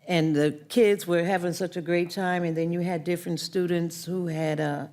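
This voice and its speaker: A woman on a board makes a measured, appreciative comment in a very low voice. Her words come slowly and plainly. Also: steady tone, warm tone. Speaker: board member. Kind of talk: meeting comment.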